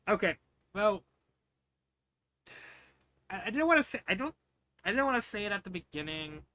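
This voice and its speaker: A man's voice: high-pitched, big pitch swings, normal speed, pushed and bright, no room sound. A man reading fan fiction and giving casual, rambling commentary, often repeating and restarting his phrases. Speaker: fan fiction reader and reviewer.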